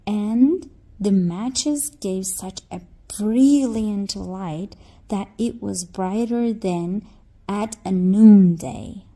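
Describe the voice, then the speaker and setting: A woman's voice: medium pitch, very slow, mellow. A woman reading a scripted fairy tale to children as a storyteller.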